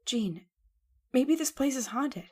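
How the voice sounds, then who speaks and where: A woman's voice: high-pitched, animated, slow pace, dark tone, slightly rough, full character voice. An actress playing an anxious young woman who has lost her brother, in earnest old-time radio drama style.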